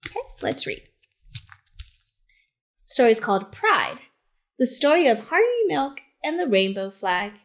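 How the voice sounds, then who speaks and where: Woman, somewhat high pitch, very unhurried pace, teacher librarian, children's read-aloud, simple careful phrasing, short explanatory asides. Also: very animated. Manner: simple, deliberate phrasing addressed to young listeners.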